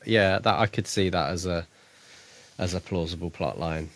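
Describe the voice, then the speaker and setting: A man's voice: sits low, normal speed, no room sound. A man co-hosting a podcast, talking about a book in a hesitant way, with many "um"s and "yeah"s. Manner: hesitant, lots of "um" and "yeah", laughs easily. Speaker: podcast co-host. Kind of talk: casual book discussion.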